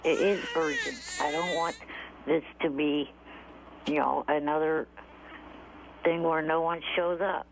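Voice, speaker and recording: A man calling a radio show by phone, talking in a halting, defensive and irritable way. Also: fairly high pitch, fairly even intonation, creaky. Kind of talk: casual, argumentative radio call. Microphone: telephone. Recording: tinny phone line, faint noise.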